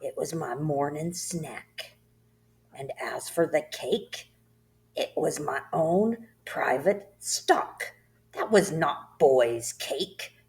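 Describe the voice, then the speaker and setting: A woman voicing a tyrannical headmistress who barks insults and shouts at a pupil in a storybook reading. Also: sits low, exaggerated voice. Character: bellowing tyrannical female headmistress, bullying.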